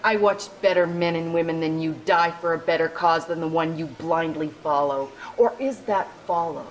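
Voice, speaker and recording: A woman's voice: deep voice, slight background noise, close to an everyday voice, rough recording. An actress playing a tough, bitter female resistance soldier, sardonic and menacing, in a video game cutscene. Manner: sardonic, menacing drawn-out delivery.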